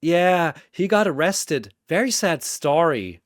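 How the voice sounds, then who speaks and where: Man as naive cheerful guy for an action story: raised pitch, earnest, animated. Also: takes it slowly, no room sound, clean studio sound. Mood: earnest, friendly. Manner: chirpy, earnest. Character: cheerful, oblivious man, naive friendly peacemaker.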